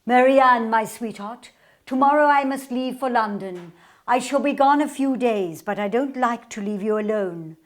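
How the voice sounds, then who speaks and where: A woman's voice: a little high, moderate pace, natural voice. A woman playing a protective, devoted older sister in a radio play, speaking formally and earnestly. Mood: fond, regretful. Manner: formal, earnest period speech.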